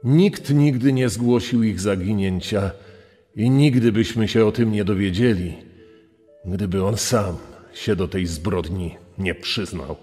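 Low male commentator in long reverb. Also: measured pace, mellow. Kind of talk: scripted documentary commentary. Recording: long reverb over music. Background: music.

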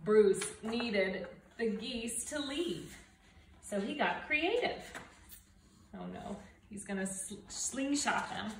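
A middle-aged woman reading a children's storybook aloud in an animated way, with dramatic emphasis. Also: a little high, takes it slowly. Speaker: storybook reader. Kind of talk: expressive children's read-aloud. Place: indoors, living room by brick fireplace and window. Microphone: camera a few feet away. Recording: roomy, distant mic, faint noise.

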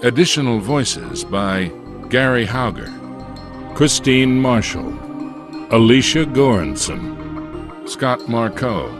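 A man reading scripted production credits as an announcer in a measured, formal way. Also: medium pitch, sing-song. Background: faint music.

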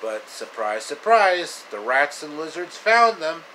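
A man in his thirties telling an improvised story casually to camera, halting and repeating phrases, in a noisy small room. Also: a little high, very animated, pushed and bright. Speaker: amateur storyteller. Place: small indoor room, reclining. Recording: clear air conditioner noise.

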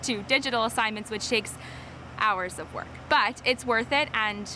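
A woman's voice: fairly high pitch, moderate pace, bright, forceful tone, slight background noise. A woman in her thirties, a fifth grade teacher, talking in an upbeat, chatty way in an interview outdoors by a parking lot. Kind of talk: interview for local TV feature.

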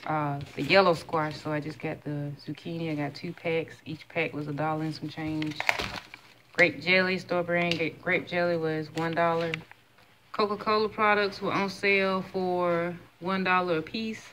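A woman's voice: lowish voice, steady tone, moderate pace, fairly bright tone, a little hiss or hum. A woman in her thirties chatting casually at home as she shows a grocery haul item by item, recorded on a phone. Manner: chatty, listing prices item by item. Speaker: vlogger. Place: home kitchen, indoors. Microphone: phone camera held close.

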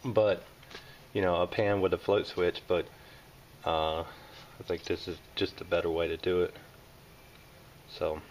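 Southern man, HVAC technician, low voice, plain step-by-step explaining, handheld camera with faint room noise. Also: muffled recording. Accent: Southern American. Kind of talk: hands-on repair walkthrough. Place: indoors at a furnace in a basement or utility space. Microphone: handheld camera filming close-up work.

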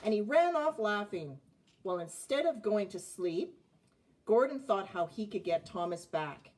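A woman in her forties reading a children's picture book aloud, with expressive phrasing and a slightly husky voice. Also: mid-range voice, measured pace. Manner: expressive, clearly phrased narration with asides about the pictures. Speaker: storybook reader. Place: indoors, child's playroom.

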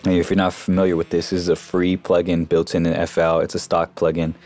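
A man giving a casual, informal software tutorial as a voice-over on a close mic. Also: deep voice, completely flat delivery, brisk, soft background music. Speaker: music production tutorial host.

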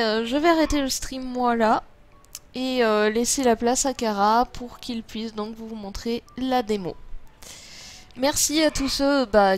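A French woman chatting casually and playfully as she streams an online game. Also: on the high side.

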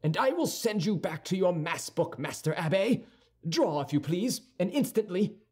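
Male narrator as impetuous young duellist for storybook; high, animated, slightly husky, hot-tempered. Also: normal speed. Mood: angry, challenging. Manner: impetuous, indignant, challenging. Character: hot-tempered, proud young Gascon swordsman, quick to challenge. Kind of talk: audiobook character dialogue.

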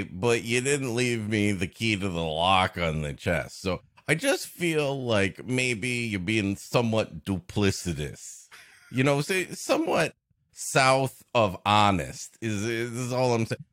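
A man's voice: lowish voice, a bit bright, no echo, clean studio sound. A man co-hosting a comedy podcast with joking, riffing banter. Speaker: podcast co-host.

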